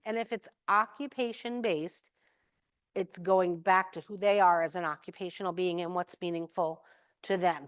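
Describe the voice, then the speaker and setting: A woman's voice: mid-range voice, no room sound, studio quality. A middle-aged American woman giving a conference talk in a conversational, emphatic way, telling anecdotes and acting out the dialogue. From New England, USA. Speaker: keynote speaker, occupational therapist.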